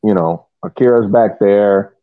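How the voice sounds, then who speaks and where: Low voiced guy on a sports podcast, thinking out loud in bits, sounds like a phone line, very dry. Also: measured pace, no room sound. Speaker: podcast co-host. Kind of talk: casual sports analysis.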